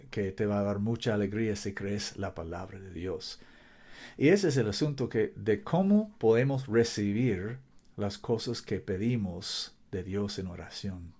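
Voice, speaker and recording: A man preaching a sermon. He reads scripture slowly and deliberately, stresses key words with emphasis, and sometimes stumbles over his Spanish. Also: fairly low pitch, warm tone. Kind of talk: sermon with Bible reading.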